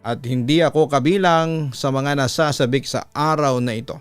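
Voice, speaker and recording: A Filipino man with a smooth, resonant voice reads a horror letter like a radio storyteller. His delivery is deliberate and dramatic, close on the mic, with music playing softly underneath. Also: mid-range voice, no echo. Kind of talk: dramatic reading of a listener's letter. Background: music bed.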